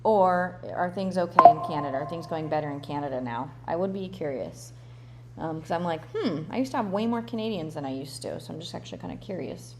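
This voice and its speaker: A woman's voice: sits low, brisk, noisy background. Chatty woman hosting a live Q&A. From born in Russia, grew up in the United States. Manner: chatty, loose, thinks aloud with fillers and restarts. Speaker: relocation consultant hosting a livestream Q&A. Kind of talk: casual live Q&A, reading comments aloud.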